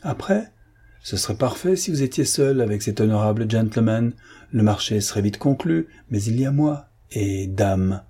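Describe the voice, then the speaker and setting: A man voicing a shrewd, ironic gentleman adventurer in a dramatic audiobook, mock-courteous and amused. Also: fairly low pitch, lively intonation, normal speed, breathy edge. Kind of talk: dramatic audiobook character reading.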